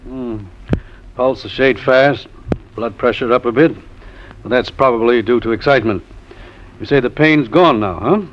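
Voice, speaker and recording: An actor playing a brisk, reassuring doctor in an old radio mystery, breezy, familiar and matter-of-fact. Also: male voice, mid-range voice, close to an everyday voice. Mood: probing, calm. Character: brisk, easygoing, reassuring male small-town doctor. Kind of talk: 1950s radio mystery acting. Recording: dull, noisy old radio recording.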